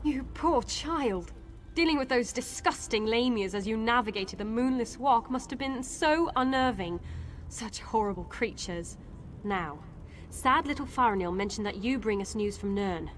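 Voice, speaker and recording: A woman's voice: on the high side, lively intonation, moderate pace, slight background noise, close to an everyday voice. A woman playing a genteel, pitying female elf elder in a fantasy video game, with a dramatic manner.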